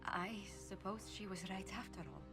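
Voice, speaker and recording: A woman's voice: mid-range voice, moderate pace, over loud music, natural voice. An actress playing a polite female ambassador who stammers formally when surprised by a confession. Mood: flustered. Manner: formal, flustered, stammers when caught off guard. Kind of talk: video game dialogue.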